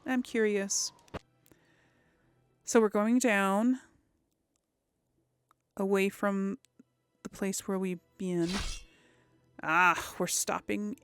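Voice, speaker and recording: A woman's voice: mid-range voice, steady tone, very slow, pauses often, smooth, soft background music. A woman giving casual let's play commentary as host of a gaming series, chatty and thinking aloud as she plays. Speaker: host of a role-play video game series.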